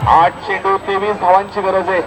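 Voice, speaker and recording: A man's voice: high voice, little pitch movement, normal speed, music in the background. A man with a Maharashtrian accent doing live cricket commentary and announcements at an outdoor local tournament, emphatic and repeating the score as he calls the play. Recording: noisy outdoor recording with loud crowd.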